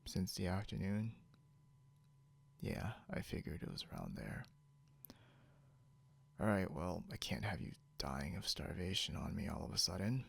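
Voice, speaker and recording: A man playing a possessive, grudgingly caring non-human captor in an intimate ASMR roleplay, gravelly and often slipping into a whisper, muttering haltingly and condescendingly, with noise behind him. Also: low-pitched, exaggerated voice. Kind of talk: ASMR roleplay, intimate second-person acting. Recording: noticeable background hiss or hum.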